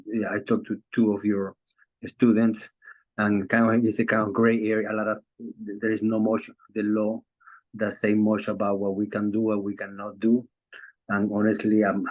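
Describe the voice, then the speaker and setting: Chiropractor from Venezuela, male; low even voice, hesitant with lots of fillers. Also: rough recording. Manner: hesitant, many fillers and restarts. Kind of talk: casual video call chat. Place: Florida.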